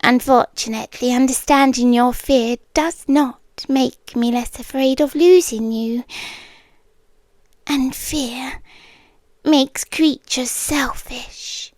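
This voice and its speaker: Woman playing a high, hushed, slow British yandere monster queen. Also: steady tone, no room sound. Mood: tender, possessive. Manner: hushed, deliberate, often whispering, regal. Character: possessive monster queen, obsessive captor, softly menacing. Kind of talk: ASMR roleplay, intimate scripted monologue.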